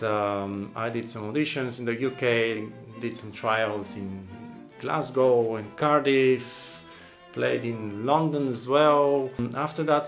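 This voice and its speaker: A man from Bulgaria, an oboist, answering viewer questions casually, thoughtful and rambling, with many restarts and fillers. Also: mid-range voice. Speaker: oboist and channel host.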